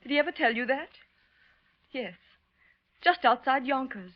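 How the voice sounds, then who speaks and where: An American actress playing a grieving, genteel widow who rambles politely and plaintively in a 1940s radio drama. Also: female voice, sits high, a little slow. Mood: tearful, wistful. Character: grieving, genteel widow, a mother prattling sentimentally. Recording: muffled old radio recording.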